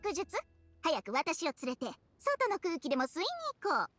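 Bossy, high-pitched talking snake. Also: female voice, big pitch swings, moderate pace, a bit bright, soft background music. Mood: complaining, scolding. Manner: blunt, scolding. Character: bossy, grumbling female talking snake companion. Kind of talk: Japanese anime game acting.

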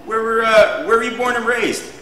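A man's voice: extremely high pitch, normal speed, pushed and bright, echoey room, a little hiss or hum, low-quality recording. A man hosting a casual interview, easygoing, asking short questions. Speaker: interview host.

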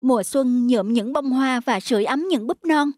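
A woman voicing a gentle snowdrop flower mother in a children's cartoon, with soft, nurturing, resigned delivery. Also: high voice, moderate pace, no echo, clean studio sound. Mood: wistful, resigned.

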